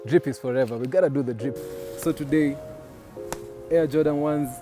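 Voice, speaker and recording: A young man with a Kenyan accent hosting his own show as a DJ, talking in energetic, slangy hype. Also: fairly high pitch, moderate pace. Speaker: DJ and show host. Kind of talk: hype vlog presenting. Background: music playing.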